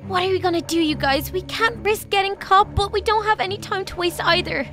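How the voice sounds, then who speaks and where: A woman's voice: extremely high pitch, a little fast. A woman playing an anxious, bossy young princess and peacemaker in a children's game roleplay. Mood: nervous. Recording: music playing behind the voice.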